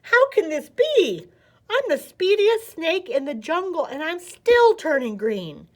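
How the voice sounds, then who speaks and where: A woman voicing an eager, restless, defiant young male python in an excitable, emphatic storybook manner. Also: very high voice, a little slow, voice pushed up, exaggerated voice. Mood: dismayed.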